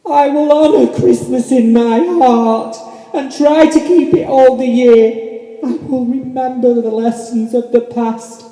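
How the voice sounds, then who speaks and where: Casting: performer acting an exuberant, pleading old miser for a radio play, unhurried and mellow, with emphatic repeats. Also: high-pitched, steady tone. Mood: desperate pleading. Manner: theatrical, emphatic, repeats phrases. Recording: echoey hall, long reverb.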